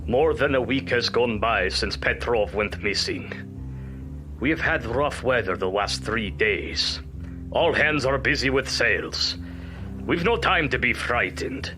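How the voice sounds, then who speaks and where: An actor playing a weary ship's captain, narrating his log gravely in clipped, deliberate phrases in an audio drama. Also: medium pitch, animated, over music, natural voice. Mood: grave, measured.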